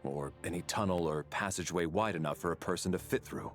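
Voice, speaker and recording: A male actor voices a stern investigator in a game story. His very deep voice is measured and formal, keeping firm control, with soft music underneath. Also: natural voice. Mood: composed, firm. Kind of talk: video game voice acting. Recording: music behind the voice.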